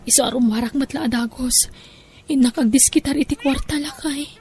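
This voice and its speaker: Woman acting as a high-voiced, plaintive Ilocano wife. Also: brisk, a little mellow. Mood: desperate. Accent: Filipino, Ilocano. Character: worried hard-up village wife and devoted daughter. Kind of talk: audio drama acting. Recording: music under the voice.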